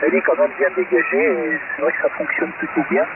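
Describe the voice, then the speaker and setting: Through a narrow, heavily static-laden shortwave link comes a man talking as a radio amateur. His voice is gravelly, and he hesitates as he thinks aloud in a casual technical exchange. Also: on the high side, wildly swooping pitch, fast talker. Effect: amateur shortwave radio transmission. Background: loud radio static.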